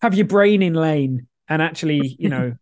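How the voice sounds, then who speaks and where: Chatty guy podcast host, kinda rambly, voice a bit on the high side. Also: animated, normal speed, darkish tone. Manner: rambling, chatty, self-deprecating. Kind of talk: casual podcast chat.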